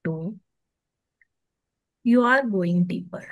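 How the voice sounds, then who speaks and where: Female meditation guide, Indian accent, very slow and soothing, dry close sound. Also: medium pitch, no echo. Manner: soothing, hushed at phrase ends, with long pauses.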